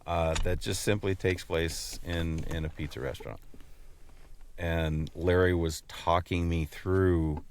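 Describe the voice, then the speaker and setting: Very low-voiced man explaining in measured phrases. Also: steady tone, a little hiss or hum. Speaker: podcast co-host. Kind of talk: casual chat.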